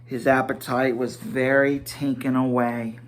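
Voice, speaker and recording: A man giving a dramatic storybook reading, halting at times and correcting himself as he narrates. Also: mid-range voice, level tone. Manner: halting, stumbling over words and correcting himself.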